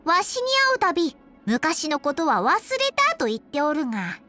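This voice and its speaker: A woman's voice: high voice, big pitch swings, normal speed, pushed and bright, soft background music, heavily acted. A woman voicing a small, proud, playful dragon girl healer for a video game, talking in an archaic, self-important old-timer's way with a child's voice. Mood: musing, chatty. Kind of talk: acted video game character voice lines.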